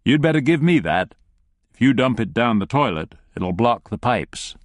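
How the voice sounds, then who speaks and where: A man voicing a calm, cruel male thug who speaks in a soft, unhurried, mocking way. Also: medium pitch, no echo, clean studio sound, close to an everyday voice. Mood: quiet menace.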